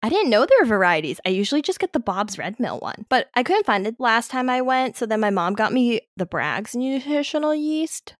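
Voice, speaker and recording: A woman's voice: fairly high pitch, sing-song, fairly quick, hardly any pauses, no room sound, clean studio sound. Rambling young woman podcast co-host. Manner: rambling, thinks aloud with many hedges. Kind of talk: casual chat.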